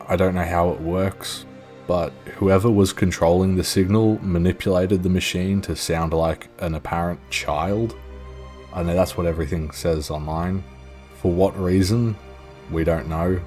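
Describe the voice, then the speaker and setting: A man's voice: low voice, a little mellow. A young man narrating a documentary-style video in a casual, informal, sweary and self-deprecating way. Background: background music.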